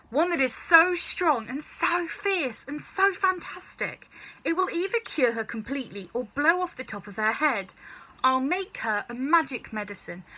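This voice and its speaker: A woman's voice: on the high side, sharp tone, full character voice. A woman voicing a plucky, mischievous eight-year-old boy from a storybook, with theatrical relish. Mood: excited scheming. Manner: theatrical, chanting rhymes with relish.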